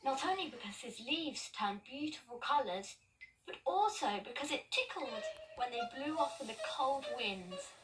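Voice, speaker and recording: A woman reading children's stories in an expressive storytelling style. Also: high-pitched, normal speed. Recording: distant mic, music underneath. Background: music.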